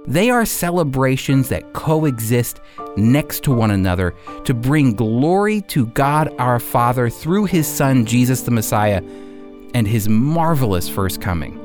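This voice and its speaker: A man's voice: mid-range voice, animated, measured pace, a little mellow, faint music behind. A man giving devotional teaching as a program host, with earnest, emphatic phrasing. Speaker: radio show host and Bible teacher. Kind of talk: devotional teaching, reading and explaining.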